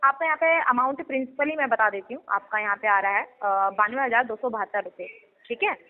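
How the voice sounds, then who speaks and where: Female debt collection agent, high voice, rapid insistent delivery, recorded over a phone call. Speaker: debt recovery agent on a loan settlement call. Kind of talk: business phone conversation. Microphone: telephone line.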